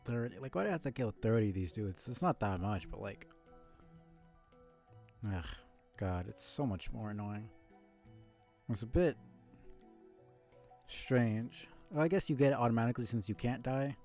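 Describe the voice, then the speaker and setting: A man's voice: medium pitch, expressive, moderate pace, pauses often, a little mellow, soft background music. A man giving casual live commentary on a video game, muttering in a laid-back, mildly grumbling way. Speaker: game streamer.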